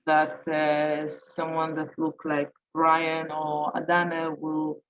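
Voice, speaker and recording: Woman panelist, English, halting with fillers, slow delivery, dull call audio. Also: sits low, monotone. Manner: hesitant, many fillers and restarts. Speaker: panel speaker. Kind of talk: answering audience questions in a webinar. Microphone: video call.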